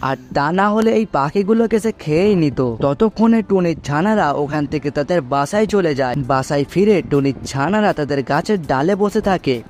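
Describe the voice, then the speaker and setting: A man's voice: a little high, expressive, a little fast, few pauses, darkish tone, a little hiss or hum. A man reading scripted narration for a children's cartoon story.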